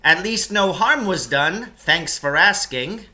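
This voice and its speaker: A self-important, indignant storybook man, acted out by a male reader, loud and exclamatory. The voice is raised high and has a hard, bright edge, full of fussy exasperation. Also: animated, slow, heavily acted.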